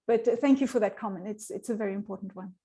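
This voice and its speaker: A woman's voice: mid-range voice, rapid-fire, dark tone. A woman scientist whose first language is Swedish answers audience questions in a thoughtful way, with many restarts and fillers. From Sweden. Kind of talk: online Q&A answer.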